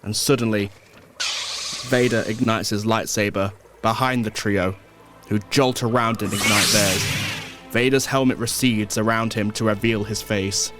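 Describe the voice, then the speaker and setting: A man's voice: on the low side, steady tone, measured pace, over music. A man reading scripted action narration as the storyteller of an audio drama.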